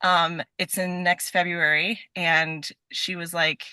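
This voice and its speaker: Bright-voiced young American woman, chatty and rambling. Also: fairly low pitch, little pitch movement, slow pace, smooth, no room sound. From Nevada, United States. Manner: effusive, rambling, peppers talk with "like". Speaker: podcast guest, stage and voice actor. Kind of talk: casual chat.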